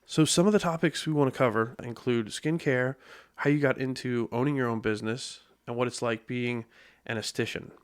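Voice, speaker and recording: A man's voice: medium pitch, fairly even intonation, brisk, clean tone. A man hosting a podcast interview in a studio, chatting casually and joking, sometimes stumbling over words. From United States. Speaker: podcast host.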